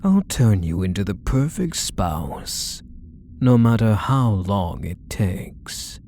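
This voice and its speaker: A man playing a possessive, controlling kidnapper husband in an ASMR roleplay, deliberate and menacing, with condescending endearments. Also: on the low side, sing-song, warm tone, a little hiss or hum, heavily acted. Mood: possessive, smug. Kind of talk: scripted ASMR roleplay, addressing the listener.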